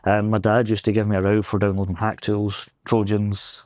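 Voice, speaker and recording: Young scottish guy w/ glaswegian accent, lowish voice, rambly and laughing a lot, dry close sound. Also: monotone, moderate pace, a little mellow, no room sound. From Scotland. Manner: rambling, self-deprecating, laughs often. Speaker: pentest engineer guest. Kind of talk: casual interview chat. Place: convention floor.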